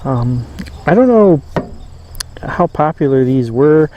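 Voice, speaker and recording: A man giving a casual, unscripted demonstration as a reviewer, pausing often and rambling a little as he goes. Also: a little high, expressive, dull audio. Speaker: vintage radio reviewer. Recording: clear background noise.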